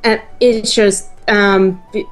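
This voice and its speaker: A woman with a mid-pitched voice chats slowly as a gaming co-host. Her explanations come haltingly and casually, with faint music behind her. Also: level tone, poor audio. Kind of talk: casual let's play chat. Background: faint game music.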